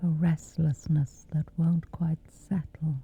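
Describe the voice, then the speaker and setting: A woman whispering softly as she guides a gentle sleep meditation, calm and soothing. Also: low voice. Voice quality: soft whisper. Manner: slow gentle soothing delivery. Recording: lo-fi narrow sound with soft music.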